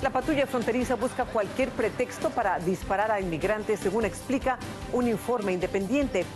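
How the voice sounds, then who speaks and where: A woman's voice: medium pitch, moderate pace. Female news anchor over music. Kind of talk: scripted headline reading. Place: TV news studio. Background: music bed.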